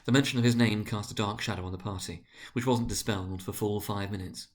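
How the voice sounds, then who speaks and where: A man narrating a story as a dramatic reading, theatrical and expressive. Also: on the low side, fast.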